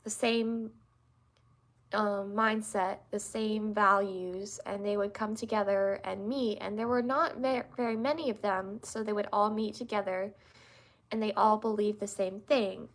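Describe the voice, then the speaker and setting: Young woman, congested, unhurried, middle-pitched, rambling casual livestream talk. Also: fairly even intonation, rough recording. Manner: rambling, many restarts and fillers. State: congested from allergies. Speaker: livestream host answering viewers.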